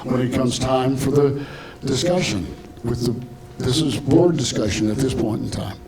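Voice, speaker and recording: A man's voice: mid-range voice, unhurried, a crowd in the background. A man chairing a condominium board meeting, firm and procedural, often repeating set phrases.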